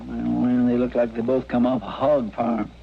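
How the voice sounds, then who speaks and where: An actor playing a simple, loyal, easily riled deputy stand-in, stammering homespun talk with a rural American drawl, in a radio western. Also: male voice, mid-range voice, level tone, normal speed, creaky, close to an everyday voice. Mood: riled, defiant. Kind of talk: old-time radio western acting. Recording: muffled, noisy old radio recording.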